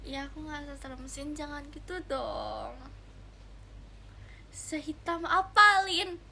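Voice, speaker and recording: A young woman with a high, bright voice talks casually to her livestream viewers. She is chatty and giggly, speaking straight to the audience, with some noise in the background. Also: takes it slowly, creaky. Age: young adult. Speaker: idol livestreamer.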